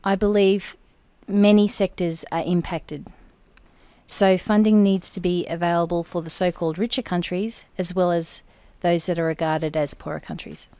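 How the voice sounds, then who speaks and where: A woman interpreting for an interview guest in the first person, speaking in measured, deliberate phrases. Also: on the low side, fairly even intonation, dark tone, smooth, no echo. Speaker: sign language interpreter voicing the guest.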